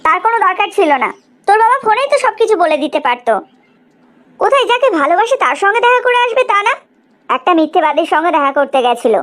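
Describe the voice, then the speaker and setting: A woman's voice: very high voice, sing-song, fast talker, fairly bright tone, full character voice. A woman playing a disapproving, opinionated mother of a grown son in a cartoon story. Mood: scolding.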